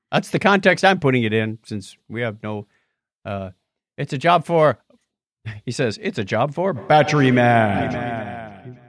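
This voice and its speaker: A man's voice: medium pitch, animated, a little slow, pauses often, no room sound, clean studio sound. A man hosting a podcast, chatting casually and playfully through news stories. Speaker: podcast host. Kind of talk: casual news banter on a live-streamed podcast.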